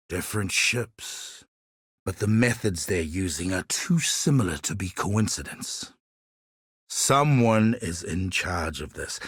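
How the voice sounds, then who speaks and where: A man's voice: lowish voice, husky, no echo, studio quality, exaggerated voice. An actor voicing a proud, earnest and wry islander man who seeks justice for his enslaved people, in scripted video game dialogue.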